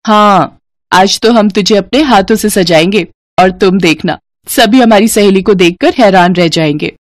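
A woman's voice: mid-range voice, moderate pace, dry recording, studio quality, close to an everyday voice. A woman voicing a rich, devoted young female friend in a cartoon story, eager and insistent. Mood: affectionate. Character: rich, bubbly, devoted young girlfriend of the bride.

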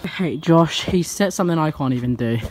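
A man's voice: fairly high pitch, animated, a little fast. A teenage boy chatting casually outdoors as a parkour vlogger. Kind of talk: casual chat. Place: outdoors in a playground. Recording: loud music over handheld outdoor camera.

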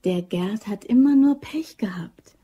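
A woman's voice: medium pitch, lively intonation, measured pace, mellow, slightly rough, muffled recording. A woman playing an eager, naive young woman in a German crime radio drama, chatty and insistent. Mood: earnest, defensive. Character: eager young woman devoted to her boyfriend, scheming, upbeat.

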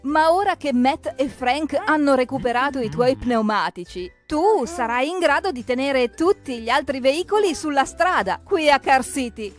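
A woman narrating a preschool cartoon in an expressive, exclamatory way, speaking to the characters. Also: high-pitched, normal speed, hardly any pauses. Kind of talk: lively scripted narration for preschoolers, talking to the characters. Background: background music.

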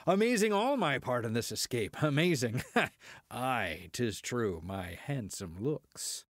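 An actor playing a cocky, boastful starship pilot, swaggering through archaic verse in an audio drama. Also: male voice, mid-range voice, big pitch swings, slow pace, no echo, studio quality.

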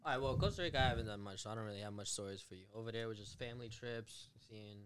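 A man's voice: fairly low pitch, rapid-fire, fairly bright tone. A young American man, a guest DJ, chatting easily on a podcast and slipping into Spanish now and then. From United States. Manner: easygoing, mixes Spanish into English. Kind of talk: casual podcast chat. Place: small podcast studio indoors. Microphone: boom mic on arm.

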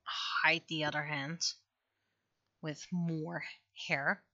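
A woman with a bright, forward voice talks casually as she draws on a livestream. She muses half to herself and lets thoughts trail off. The recording is dry and close, a little dull. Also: low-pitched, flat delivery, very slow, no room sound. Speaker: livestreaming webcomic artist. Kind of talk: casual livestream chat while drawing.

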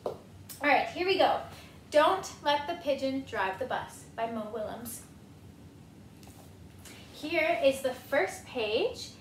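A woman's voice: sits high, expressive, slow, long pauses. A woman in her twenties reading a picture book to children, encouraging them and asking questions with exaggerated expression. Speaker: storyteller. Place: living room, indoors. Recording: roomy home recording, some noise.

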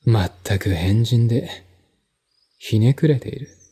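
Guy narrating an audiobook, slow n deliberate, soft dark tone, formal literary style. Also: medium pitch, expressive, husky. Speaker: narrator, solo audiobook reader.

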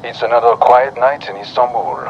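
An actor playing a focused special-operations agent in a game, speaking in terse tactical radio calls. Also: male voice, mid-range voice, lively intonation, normal speed, lightly acted. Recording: muffled, noisy radio transmission.

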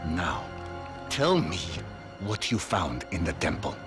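Over loud cinematic music comes a ruthless video game warlord. His voice is low and slow, every word a deliberate, contemptuous threat. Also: very animated, full character voice. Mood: cold menace. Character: menacing, ruthless male warlord, middle-aged commander, cold and volatile. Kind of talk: video game cutscene acting.

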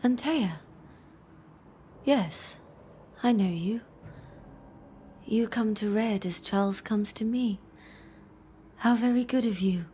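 A woman's voice: a little high, slow pace, long pauses, warm tone, slight background noise. A woman playing a dreamy, fragile, lonely woman in a dramatic game, wistful and drifting in her delivery.

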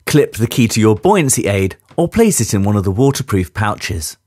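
Lively-pitched man reading safety instructions. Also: dry recording, clean studio sound. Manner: clear, measured instructional delivery. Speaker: safety briefing narrator. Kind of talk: scripted voice-over instructions.

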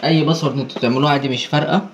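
An Egyptian man talks viewers through an installation in a casual, practical way. His speech races along at a very quick pace. There is light noise around him in the indoor on-site recording. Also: on the high side, steady tone, reverberant. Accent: Egyptian Arabic. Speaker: installer presenting an installation video. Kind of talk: casual hands-on tutorial commentary. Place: indoors in a marble-walled room. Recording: noisy handheld on-site recording.

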